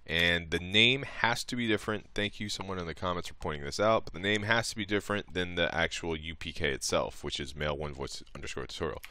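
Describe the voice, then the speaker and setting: A man narrating a casual step-by-step software tutorial in an informal, somewhat hesitant way, thinking aloud as he goes. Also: low voice, fast, few pauses, slight background noise. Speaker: tutorial presenter.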